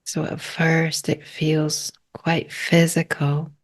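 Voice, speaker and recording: A woman leading a guided meditation in a calm, unhurried manner, speaking in short phrases with long pauses. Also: deep voice.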